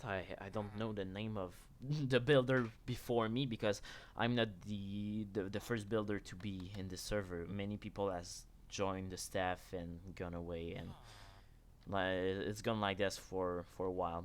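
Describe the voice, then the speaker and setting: A man from Canada whose first language is French, the server's builder, chatting casually on a live stream and laughing between phrases. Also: lowish voice.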